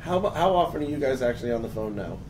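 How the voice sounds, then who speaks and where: A man in his thirties with a gravelly, hoarse voice, hosting a casual panel discussion with restarts and fillers. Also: mid-range voice, expressive, a little mellow. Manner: casual, halting, lots of restarts. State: hoarse from a long three days. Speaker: host. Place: office room with a panel. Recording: distant mic, noisy.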